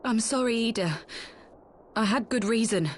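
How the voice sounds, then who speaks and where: A woman's voice: on the high side, moderate pace, a little hiss or hum, close to an everyday voice. An actress playing a brave, stoic young woman fighter in a video game, wounded and weakened. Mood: weary, apologetic.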